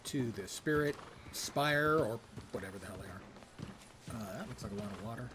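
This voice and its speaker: A man's voice: lowish voice, expressive, moderate pace, background noise. A middle-aged man giving casual live commentary on his game, thinking aloud as he plays. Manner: thinks aloud while playing.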